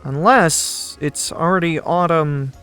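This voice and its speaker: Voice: medium pitch, slow pace, fairly bright tone, clean tone, soft background music. A performer voicing a curious, anxious young boy who asks nervous questions in a fan comic dub. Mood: puzzled. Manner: inquisitive, nervous. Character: curious, anxious boy lost in another world.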